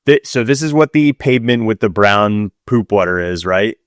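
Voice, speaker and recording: A man's voice: lowish voice, animated, normal speed, dry recording, studio quality. A man co-hosting a podcast in casual chat, with an informal, wryly griping manner. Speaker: podcast co-host.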